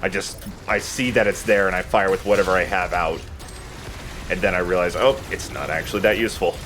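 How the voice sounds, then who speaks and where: Man, low voice, casual let's play commentary, conversational, game noise behind him. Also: fairly even intonation, brisk. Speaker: let's play host and player.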